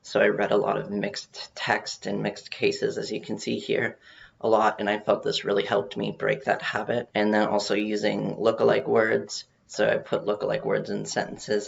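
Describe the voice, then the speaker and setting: A man giving an informal research presentation, speaking haltingly with some repeated words, on muffled audio. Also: on the low side, completely flat delivery, creaky. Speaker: presenter on learning to read.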